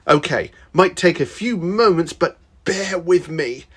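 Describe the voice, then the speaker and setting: A performer voicing a flamboyant, self-aware magical male phantasm in an audio fan fiction, with theatrical, drawn-out exclamations. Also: mid-range voice, expressive, husky. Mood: gleeful showman.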